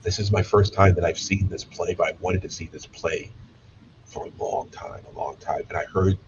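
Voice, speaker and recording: A man's voice: low voice, steady tone, normal speed. A man voicing an affluent, masked male drow noble who speaks in a sly, confiding whisper. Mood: eager. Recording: dull distant room mic with faint hiss.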